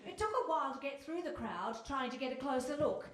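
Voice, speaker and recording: A woman's voice: high-pitched, animated, a little fast. A woman narrating a scripted fairy-tale radio play live on stage. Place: live stage in a hall with audience. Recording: echoey hall, clearly noisy.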